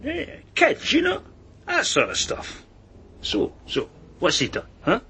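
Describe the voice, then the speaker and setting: A man acting a sly, agitated prison informant in a radio drama, rough-voiced, repeating himself and sneering. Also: high voice, wildly swooping pitch, slow, pushed and bright, slight background noise, exaggerated voice. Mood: sly, wary. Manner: agitated, stammering repetitions, sneering. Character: jumpy, sly male jailbird and police informant.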